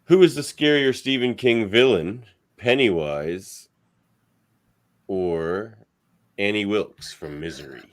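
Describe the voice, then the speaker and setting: A man with one of the deepest voices around hosts a casual podcast debate. He is easygoing and tends to let his sentences sink into quiet asides between calling on guests. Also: slow pace. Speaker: host, debate moderator.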